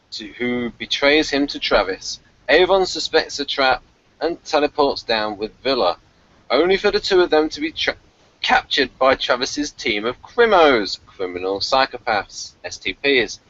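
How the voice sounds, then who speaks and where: A man hosting a review show in casual chat, excitable and prone to chuckling as he talks. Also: medium pitch, no room sound. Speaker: podcast host of a TV review show. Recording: dull, lo-fi, dry recording.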